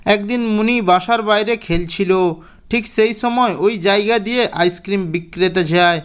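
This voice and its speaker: Casting: female narrator for children's tales, smooth clear voice, middle pitch. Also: moderate pace. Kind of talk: scripted children's story narration.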